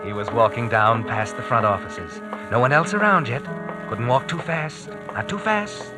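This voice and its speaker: A man's voice: mid-range voice, normal speed, loud music behind the voice, close to an everyday voice. Ominous 1940s radio suspense narrator, played by a man. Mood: tense, driving. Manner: taunting, intimate second-person address. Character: ominous male narrator addressing the anxious man he follows. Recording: vintage 1940s radio recording.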